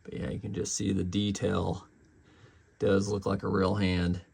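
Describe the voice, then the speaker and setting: A man's voice: low voice, little pitch movement, unhurried, gravelly, darkish tone, husky. A man casually reviewing a collectible in an unscripted, conversational way.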